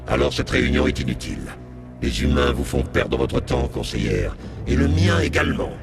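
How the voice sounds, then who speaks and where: An actor playing an arrogant, contemptuous alien agent in a French video game, with a gravelly, haughty, theatrical delivery. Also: male voice, very deep voice, wildly swooping pitch, moderate pace, heavily acted. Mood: scornful. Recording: loud music underneath.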